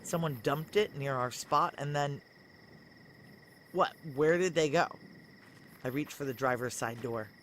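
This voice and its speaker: A woman's voice: sits low, a little slow, long pauses, noisy background. An actress playing a tough, desperate female security operative who narrates and speaks tersely in a noir sci-fi audio thriller. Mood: tense, wry. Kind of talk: first-person noir audio drama acting and narration.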